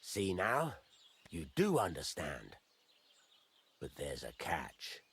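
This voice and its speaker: An actor playing a gruff, fatherly middle-aged master blacksmith in a medieval video game, blunt and folksy, teasing as he talks. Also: low voice, huge pitch swings, takes it slowly, fairly bright tone, heavily acted. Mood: confiding. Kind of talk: video game dialogue acting.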